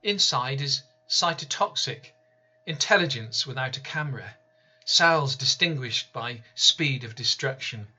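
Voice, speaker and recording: A man reading his own poem aloud in a slow, deliberate, measured way. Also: medium pitch, expressive, sharp tone, husky. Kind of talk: spoken-word poetry reading.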